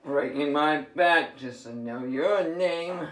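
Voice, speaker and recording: A man from San Diego with a rough, worn voice, rambling through a half-sung freestyle and chatty, swearing talk at home. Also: a little high, takes it slowly, fairly bright tone, roomy sound, a little hiss or hum. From San Diego, California. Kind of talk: improvised singing and casual vlog talk.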